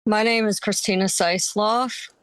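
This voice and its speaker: A woman's voice: medium pitch, steady tone, unhurried, smooth, dry recording. A woman with an American accent, from Pennsylvania, introducing herself and giving personal testimony as an affected resident. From Pennsylvania, United States. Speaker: affected resident, interview guest.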